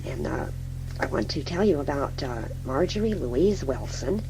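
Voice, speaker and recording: A man playing a casual, flirtatious radio station colleague, improvising introductions in a halting, slangy way. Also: a little high, expressive, music in the background. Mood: halting, admiring. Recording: noisy, humming radio-like recording.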